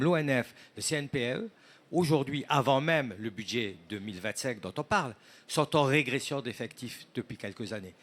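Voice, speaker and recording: A man speaking on a conference panel, presenting a report in semi-prepared talk and listing points with emphatic repetition. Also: medium pitch. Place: conference room, speaking to an audience.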